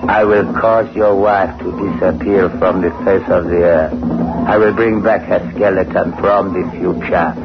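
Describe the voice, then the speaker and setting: An actor playing a calm, cunning supernatural fixer in a radio horror play, speaking in formal, ominous phrases. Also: male voice, deep voice, measured pace, gravelly. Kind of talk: 1960s radio horror acting. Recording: muffled old radio recording, loud music.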